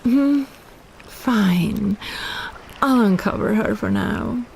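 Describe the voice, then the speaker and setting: A woman voicing a possessive, flirtatious female kraken in a romantic roleplay audio, teasing in a sultry, playful, unhurried way with sighs. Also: mid-range voice, gravelly, darkish tone, a little hiss or hum. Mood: smug teasing.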